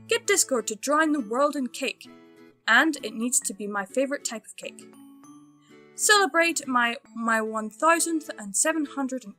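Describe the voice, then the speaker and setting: A woman's voice: high voice, pushed and bright. A woman reading a fan fiction story aloud as its narrator, in scripted storytelling style.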